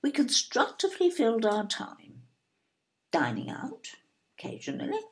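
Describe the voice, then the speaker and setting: A woman's voice: a little high, wildly swooping pitch, normal speed. A woman playing a snobbish, opinionated older English upper-middle-class wife in an audio play, with a haughty, disapproving, plummy manner. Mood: brisk, defensive.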